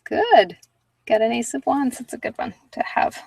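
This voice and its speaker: A woman's voice: fairly high pitch, sing-song, moderate pace, gravelly, darkish tone, far from the mic, low-quality recording. A woman chatting casually and candidly with live viewers as a livestream host, in an informal, self-deprecating, rambling way. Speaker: livestream host giving card readings.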